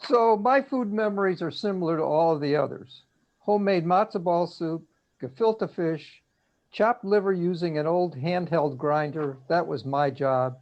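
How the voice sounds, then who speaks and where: A man giving a prepared personal reminiscence in measured short phrases over a muffled video call. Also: a little high. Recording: dull, lo-fi video call audio.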